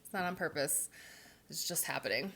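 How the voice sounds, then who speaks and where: A woman's voice: low voice, moderate pace, gravelly, sharp tone. A woman giving a rambling, casual vlog update, her voice stuffy from a cold and full of fillers. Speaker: vlogger.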